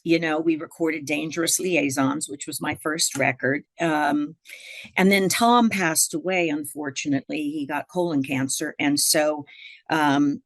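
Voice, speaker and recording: A woman's voice: deep voice, fairly even intonation, a little slow, no room sound. A woman jazz singer and songwriter, a guest in a conversational interview, telling rambling stories with many fillers.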